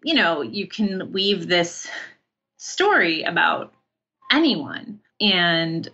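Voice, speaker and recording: A woman's voice: fairly low pitch, slow, dull audio. A woman chatting casually as an interview guest, telling stories in a rambling way full of asides. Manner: rambling, animated storytelling with many 'like' asides. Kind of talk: casual chat.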